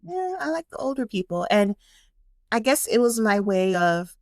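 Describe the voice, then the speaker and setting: A woman from Miami with a South Florida accent, a podcast guest talking in an animated, reflective way and laughing often. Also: a little high, normal speed, no room sound, studio quality. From Miami, Florida, United States. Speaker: podcast guest, social worker. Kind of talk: casual conversation.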